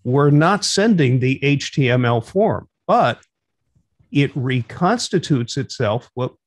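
A man's voice: mid-range voice, animated, slow, no echo. A middle-aged man hosting an instructional radio net in an orderly, procedural way over a video call. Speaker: net control operator and host. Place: small home room, on a video call.

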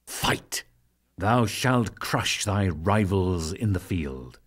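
Guy doing majestic god voice, deep and slow, solemn, commanding, epic scripture drama. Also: lively intonation, husky, exaggerated voice. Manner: solemn, measured, with weighty pauses. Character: majestic male god, commanding, calm and reassuring.

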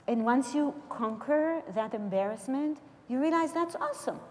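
A woman's voice: high voice, expressive, moderate pace. A middle-aged woman whose native tongue is Hebrew, speaking English with a Hebrew accent as a panelist in a reflective, emphatic way, miked on an auditorium stage. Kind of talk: panel discussion. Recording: reverberant auditorium sound.

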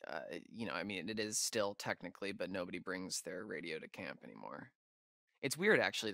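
A man's voice: on the low side, vocal fry, sharp tone, no echo, studio quality. Hesitant young American guy, geeky camp counselor. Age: young adult. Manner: hesitant, rambling, stammering. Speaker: video game character actor. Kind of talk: naturalistic video game dialogue.

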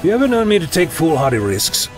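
An actor playing an eccentric, curious, self-assured adventurer in an audio drama, musing and wry. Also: male voice, fairly high pitch, wildly swooping pitch, fast talker, loud music behind the voice.

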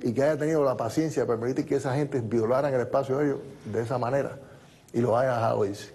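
Man, fifties, TV interview guest, warm husky voice, lapel mic, studio. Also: mid-range voice, quick pace. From born in the United States, raised in Cuba. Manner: steady storytelling, recounting events in detail.

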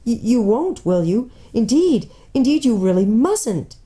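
A woman's voice: fairly high pitch, big pitch swings, brisk, warm tone, voice pushed up. A woman voicing a kind, anxious little girl in a children's storybook, polite, earnest and pleading. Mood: frightened pleading. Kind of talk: dramatised children's storybook reading.

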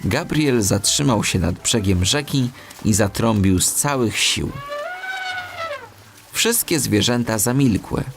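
A man narrating a children's audiobook in an expressive storytelling style. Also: lowish voice, slow, vocal fry.

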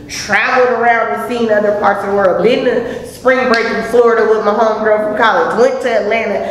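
A woman's voice: mid-range voice, heavy reverb, big-room sound, poor audio. A woman from California chatting on a panel in a large studio, acting out quotes as she tells stories. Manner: animated storyteller, acts out quotes. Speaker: panel guest. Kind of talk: casual panel chat. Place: large studio room, round table.

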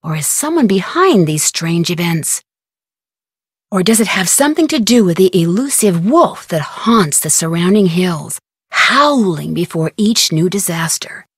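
A woman's voice: fairly low pitch, sing-song, unhurried, husky, no echo, studio quality, full character voice. A woman voicing a plucky, inquisitive young amateur detective with an American accent in an adventure game, chipper and conversational. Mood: inviting, intriguing. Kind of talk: scripted adventure game dialogue.

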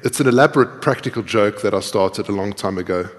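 A man's voice: on the low side, quick pace. A middle-aged man giving an informal live coding talk, thinking aloud as he types and poking fun at himself. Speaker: conference speaker, programmer. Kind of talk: live coding demo talk, explaining while typing. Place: large tiered lecture hall, indoors. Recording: reverberant lecture hall.

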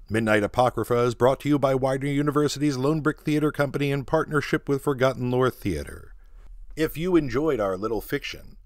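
A man's voice: medium pitch, fairly bright tone, no room sound. Male podcast announcer, dry sound. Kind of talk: scripted credits narration.

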